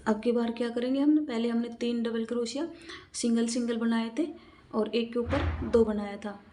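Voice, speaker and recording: A woman's voice: on the high side, steady tone, moderate pace, mellow, slight background noise. A woman narrating a step-by-step craft tutorial, explaining in a repetitive, instructional way and counting aloud.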